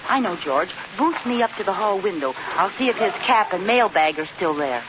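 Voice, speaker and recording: An actress playing a teasing, scheming, wisecracking housewife in an old radio situation comedy. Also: female voice, medium pitch, expressive, moderate pace, natural voice. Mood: brisk. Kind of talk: 1940s-50s radio situation comedy acting. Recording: dull noisy old radio, loud audience.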